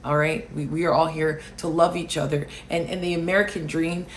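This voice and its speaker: A woman from Alaska, raised in Hawaii, hosting political commentary, talking loudly and emphatically and answering viewer comments. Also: low-pitched, fairly even intonation, fairly quick, slight background noise. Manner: loud, emphatic, rising to near shouting on key points.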